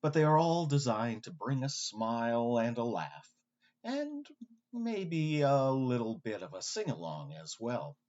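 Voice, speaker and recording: Expressive male narrator, slightly dull recording. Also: medium pitch, unhurried. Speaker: podcast narrator. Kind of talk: scripted narration about pantomime.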